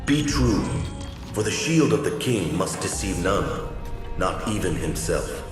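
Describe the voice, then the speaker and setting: A man's voice: deep voice, big pitch swings, a little slow. A man playing a solemn, commanding spirit in a video game, testing a warrior in portentous, archaic phrases. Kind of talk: theatrical video game acting. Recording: cavernous echo, distant, loud music behind.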